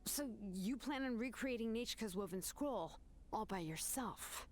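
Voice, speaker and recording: A woman's voice: a little high, lively intonation, measured pace, soft background music. A woman voicing a laid-back, confident young woman in an anime game. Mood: calm, knowing.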